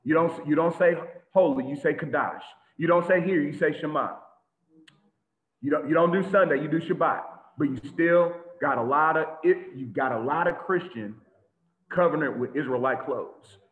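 A man preaching an animated sermon to a congregation over a video call, with lots of repetition and sudden shouts. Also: a little high, moderate pace. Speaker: teacher of a congregation. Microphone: video call webcam. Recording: tinny phone-like echoey audio.

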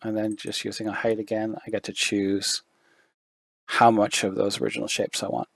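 A man giving a screen-recorded software tutorial, thinking aloud with fillers, his voice going hoarse. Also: lowish voice, flat delivery, moderate pace, dry recording. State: losing his voice, throat clearing.

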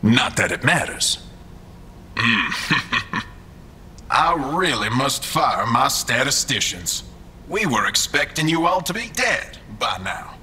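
An actor playing a smug, mocking mastermind employer in a scripted audio drama, with a theatrical, falsely polite, condescending manner. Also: male voice, medium pitch, pushed and bright, slight background noise, exaggerated voice. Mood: amused, smug.

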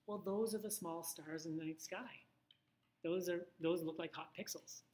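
A man's voice: fairly high pitch, steady tone, moderate pace, a little mellow. A man giving an informal instructional photography talk, conversational and thinking aloud with little asides.

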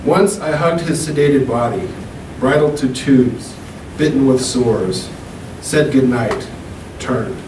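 A man in his thirties reading poems at an open mic into a handheld mic, slow and deliberate, with casual asides between poems. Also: medium pitch. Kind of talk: poetry reading. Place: small room at a podium. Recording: echoey room, camera from far off, hum.

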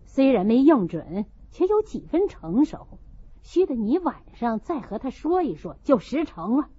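A woman's voice: high-pitched, very animated, unhurried, dark tone, slightly rough, highs cut off, exaggerated voice. A woman voicing a rich husband in an audiobook, cajoling and grumbling by turns. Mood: coaxing.

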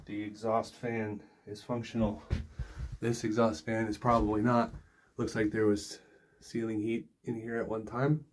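A man's voice: on the low side, flat delivery, unhurried. A middle-aged man giving a casual, offhand walkthrough of a small house, thinking aloud as he films on his phone while walking from room to room. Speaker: tour guide. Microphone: phone held while filming.

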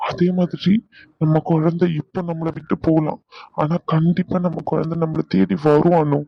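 A man's voice: on the high side, little pitch movement, normal speed, vocal fry, no room sound, highs cut off. A man playing an anguished, tender husband in a domestic drama, pleading and soothing his wife with endearments. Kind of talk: emotional domestic audio drama.

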